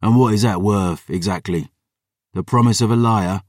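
A man voicing a bitter, scornful fallen warrior in an audiobook, low and weary with a sardonic manner. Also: normal speed, darkish tone, clean studio sound, natural voice. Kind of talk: dramatic audiobook fantasy dialogue. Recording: roomy echo, distant from mic.

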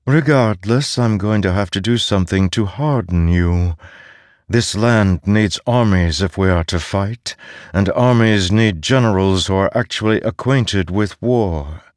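A man's voice: on the low side, measured pace, no echo, pitched above the performer's own voice, lightly acted. A cold, imperious voice speaking into someone's mind, as a man reads it out. It talks down with cutting authority. The sound is close and dry, with no room around it. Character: cold commanding disembodied male voice.

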